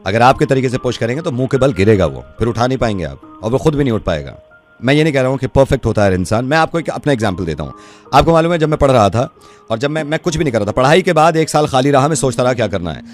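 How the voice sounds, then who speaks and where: A man's voice: medium pitch, big pitch swings, rapid-fire, soft background music. A male radio host with a Delhi Hindi accent, talking earnestly and persuasively to a caller on air. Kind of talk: on-air phone conversation.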